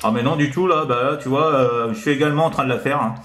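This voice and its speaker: A man chatting casually as a radio operator, in a halting, repetitive way full of verbal tics. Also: mid-range voice, roomy sound, heavy background noise. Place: indoors in an apartment by a window.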